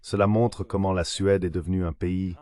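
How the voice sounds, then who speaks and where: A man's synthetic voice reading translated political discussion as a measured voice-over. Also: sits low, flat delivery, dark tone. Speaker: voice-over translator of interview guests. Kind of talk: dubbed political discussion, measured read delivery.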